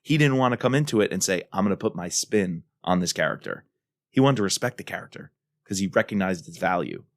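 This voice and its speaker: A man's voice: low voice, big pitch swings, fast talker, vocal fry, no echo, clean studio sound. A man co-hosting a podcast in a casual, enthusiastic and emphatic discussion style. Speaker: podcast co-host.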